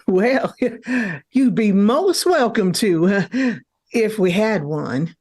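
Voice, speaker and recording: A woman's voice: medium pitch, lively intonation, takes it slowly, a little mellow, slightly rough. A woman playing a homely, hesitant, welcoming country storekeeper in an old-time radio comedy.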